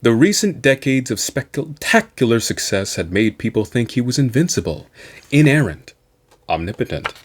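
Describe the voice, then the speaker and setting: A man reading a science fiction novel aloud as host, with a theatrical, deliberate delivery. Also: mid-range voice, sing-song.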